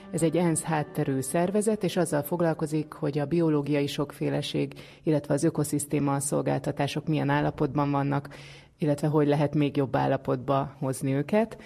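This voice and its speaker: A woman presents a radio science magazine. Her voice sits quite low and has a dark, mellow colour. She narrates and interviews in an explanatory way. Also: fairly even intonation, vocal fry, faint music behind.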